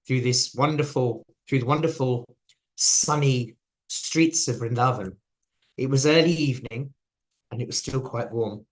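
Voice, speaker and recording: Reflective man telling his own story. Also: medium pitch. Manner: reflective, laughs softly, restarts often. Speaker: devotee sharing his story. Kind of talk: personal testimony talk.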